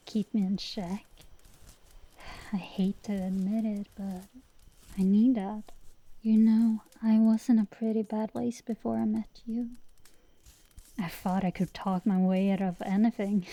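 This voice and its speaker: A woman playing a brash but secretly tender dragon girl sorceress in an intimate ASMR roleplay, soft and sometimes whispering, teasing and affectionate, close to the mic. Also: mid-range voice, no echo. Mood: fond, teasing. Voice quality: soft, breathy whisper at times.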